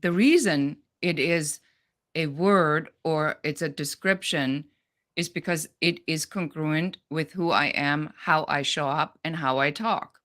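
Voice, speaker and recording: A woman's voice: low-pitched, takes it slowly, fairly bright tone. A middle-aged woman with a German accent, speaking as a guest on a business podcast in a direct, no-nonsense way. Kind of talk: podcast interview.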